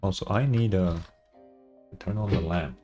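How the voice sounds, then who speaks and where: A man's voice: low-pitched, moderate pace, warm tone, muffled recording. A man livestreaming a video game, commenting casually and reading story text aloud. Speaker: game streamer. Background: game music.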